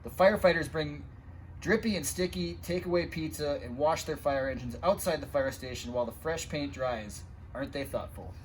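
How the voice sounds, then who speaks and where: Male storytime reader, slightly high voice, expressive and child-directed, some background noise. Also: moderate pace, rough recording. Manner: expressive, addressing young listeners with asides and sound effects. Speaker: firefighter reading a children's picture book aloud. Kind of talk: animated storytime read-aloud.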